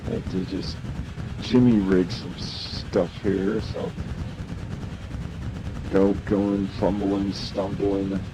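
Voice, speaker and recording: A tired man rambling through a halting, mumbled stream-of-consciousness monologue, recorded on a lo-fi analog cassette. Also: lowish voice. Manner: halting, mumbling, with trailing asides. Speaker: artist recording his own tape. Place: home, late-night recording. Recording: noisy lo-fi analog cassette tape.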